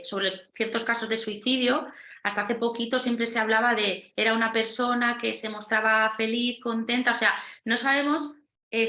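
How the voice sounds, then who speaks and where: Bright-voiced woman in an echoey room. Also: fairly high pitch. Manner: explanatory, tags points with ¿no?. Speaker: webinar panelist. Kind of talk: webinar panel discussion.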